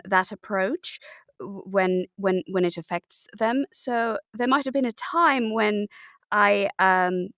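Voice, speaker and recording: A woman's voice: medium pitch, fairly bright tone, dry recording, studio quality. A woman playing a breezily unrepentant British method actress, justifying herself with polite, hesitant euphemism in a mockumentary interview.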